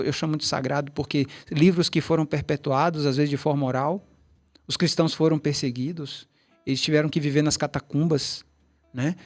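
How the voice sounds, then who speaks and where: A middle-aged man, a tai chi teacher, telling long rambling stories in a casual interview, with many restarts and asides. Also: fairly high pitch. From Brazil, Bahia.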